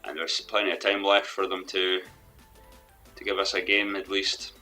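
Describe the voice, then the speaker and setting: Low bright-toned young man, a bit hoarse. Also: little pitch movement, moderate pace. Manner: rambling, hesitant, with restarts. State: losing his voice. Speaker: gaming commentator. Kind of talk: let's play commentary. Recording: faint background music.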